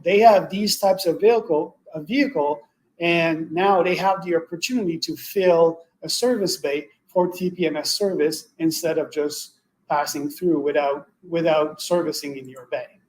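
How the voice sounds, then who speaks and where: A man with a fairly high voice delivers a semi-scripted product pitch as a webinar expert. His delivery is halting, with slightly non-native English phrasing. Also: a little mellow, low-quality recording. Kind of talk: semi-scripted sales presentation.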